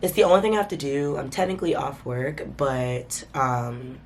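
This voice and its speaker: A woman's voice: rumbling low, lively intonation, moderate pace, slight background noise. A woman vlogger talking casually to her viewers, her voice stuffy and congested from a cold. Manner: chatty, informal, addresses viewers as y'all. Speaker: vlogger host. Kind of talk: casual vlog chat to camera.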